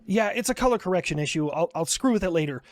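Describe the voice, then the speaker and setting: A man giving conversational satirical commentary. His voice is fairly high and very animated in pitch, with a blunt, sweary delivery and a dry sound. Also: fast talker, dry recording. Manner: profane, blunt. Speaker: comedy skit creator.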